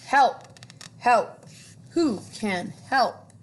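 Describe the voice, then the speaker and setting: Lady doing a high loud storybook duck, stuck and begging for help, slow stretched calls, noisy recording. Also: expressive. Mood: pleading, urgent. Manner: drawn-out, pleading calls. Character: stuck cartoonish duck, loudly pleading for help. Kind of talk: children's picture book read-aloud.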